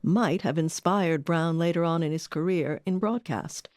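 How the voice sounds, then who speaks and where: Female documentary narrator, slightly low register, dry close studio recording, scripted read. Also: a little slow, darkish tone, no echo. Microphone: close studio microphone.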